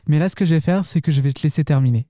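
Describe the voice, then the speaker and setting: A man hosting a podcast interview, asking questions in a relaxed, unhurried way. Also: on the high side, dry recording, clean studio sound. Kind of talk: casual interview.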